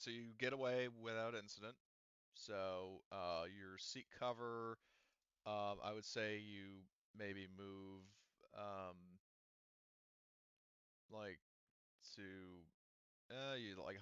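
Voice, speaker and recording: Bright, crisp-voiced man running a game. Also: lowish voice, measured pace, no echo, dull audio. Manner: casual, rambling, swears freely. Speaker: game master. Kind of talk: running a tabletop roleplaying game over voice chat.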